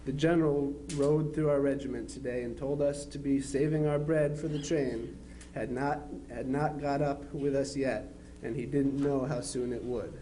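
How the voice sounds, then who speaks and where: A man's voice: medium pitch, steady tone, normal speed, dark tone, a little hiss or hum, lightly acted, rough recording. A man playing a dutiful young Civil War soldier, reading his letters home aloud. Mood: weary, wry. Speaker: amateur reader in a historical society dramatization.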